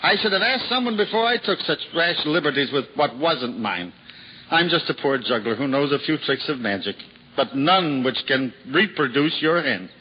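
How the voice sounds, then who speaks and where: An actor playing a poor, cheerful wandering juggler in a 1950s radio drama, earnest and prone to stammering when flustered. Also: male voice, on the high side, expressive, moderate pace, natural voice. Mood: humble. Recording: dull old radio recording with hiss.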